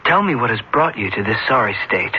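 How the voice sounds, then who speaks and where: Soothing friend, muffled radio sound. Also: male voice, lowish voice, sing-song, slightly rough. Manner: measured, reassuring. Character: calm, solicitous male friend, soothing and reasonable. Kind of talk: old-time radio drama acting. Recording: muffled old radio recording, faint noise.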